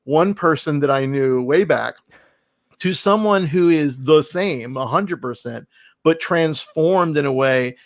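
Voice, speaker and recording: A man hosting a casual podcast interview, talking in a halting way with repeats and rephrasing. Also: on the high side, dry recording. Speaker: podcast host.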